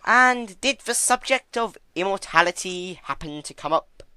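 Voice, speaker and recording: A man's voice: high voice, lively intonation, unhurried, sharp tone, smooth, no echo, clean studio sound, pitched above the performer's own voice, exaggerated voice. A man voicing a grand, theatrical male chaos spirit, menacing and possessive, with emphatic delivery in a dramatic story reading. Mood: grandly probing. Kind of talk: dramatic fan-fiction reading.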